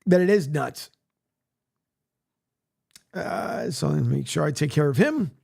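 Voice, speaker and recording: A man hosting a sports radio show, rambling casually with many fillers, his voice raspy from a long day. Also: on the high side, expressive, a little slow, a little mellow. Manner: rambling, many fillers, plugs and reads news aloud. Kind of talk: casual solo sports talk, filling time.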